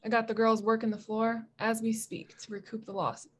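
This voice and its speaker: Street hustler nephew for audio drama, played by a woman: young, cocky, slangy, higher register, slightly noisy recording. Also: flat delivery, normal speed, close to an everyday voice. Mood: defensive. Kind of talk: scripted table-read drama acting. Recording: noisy room ambience.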